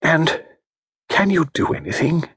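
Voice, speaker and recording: Man playing aggrieved middle-aged wife, high pitched, swooping, indignant outbursts. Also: sing-song, vocal fry, slightly rough, no echo, studio quality, full character voice. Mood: miserable. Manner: rushed, complaining outbursts.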